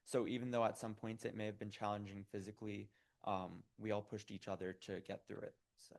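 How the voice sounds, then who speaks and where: A man's voice: on the low side, little pitch movement, fairly quick, gravelly. A seventeen-year-old young man answering questions informally at a public meeting, speaking in a hesitant, youthful, casual way. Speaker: student program participant answering questions.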